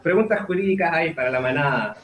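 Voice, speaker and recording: A man's voice: on the high side, expressive, quick pace, fairly bright tone. A man with a Chilean accent addressing a recital audience as the performing singer. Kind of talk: spoken dedication to a recital audience. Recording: live event recording with guitar music, roomy echo. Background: guitar music.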